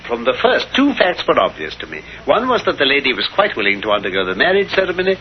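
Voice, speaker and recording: An actor playing a confident, courteous, analytical English detective, speaking precisely and formally in 1930s radio style. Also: male voice, mid-range voice, wildly swooping pitch, quick pace. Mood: assured, explanatory. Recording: muffled, noisy old 1930s radio recording.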